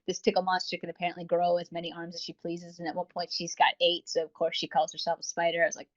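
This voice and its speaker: A woman's voice: fairly low pitch, completely flat delivery, fast talker, muffled recording. A woman co-hosting a podcast, chatting casually and effusively as she reviews audio dramas. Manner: effusive, informal. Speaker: podcast co-host.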